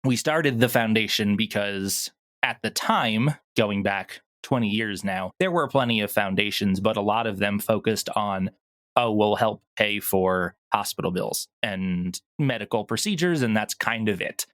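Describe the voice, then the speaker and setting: A man's voice: fairly low pitch, unhurried, fairly bright tone, no room sound. A man talking casually as an interview guest, explaining things earnestly. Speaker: podcast guest, audio drama producer.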